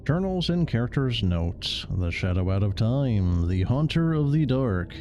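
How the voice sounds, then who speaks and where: A man's voice: medium pitch, big pitch swings, a little slow, darkish tone. A man giving offhand, dry, casual live commentary as he streams a game. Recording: faint background noise.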